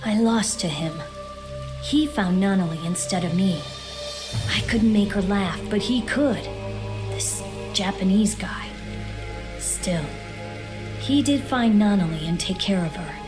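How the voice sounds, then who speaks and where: A woman's voice: mid-range voice, a little slow, pauses often, over music, natural voice. A woman playing a proud, guarded exiled boy prince of about ten in an anime audio drama, haughty and introspective. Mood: brooding, reflective.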